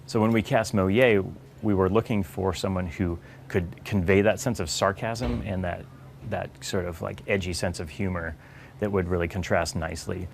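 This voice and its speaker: Ambient noise sits clearly behind a low, fry-heavy male voice. The man is an audio director explaining his work in an interview. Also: steady tone, moderate pace.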